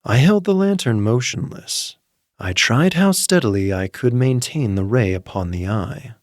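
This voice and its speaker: A man narrating a dark story in a dramatic, slow reading, dropping to hushed murmurs and rising to sudden intense outbursts. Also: medium pitch, lively intonation, dry recording, clean studio sound. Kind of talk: dramatic audiobook reading for sleep.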